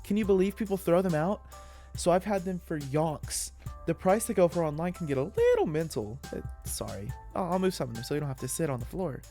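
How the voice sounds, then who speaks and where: A man's voice: medium pitch, lively intonation, fast, gravelly, hardly any pauses, a little mellow, faint music behind. A man voicing an anxious, awkward, eager schoolgirl in a dramatic story reading, rambling earnestly and easily flustered. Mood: excited rambling.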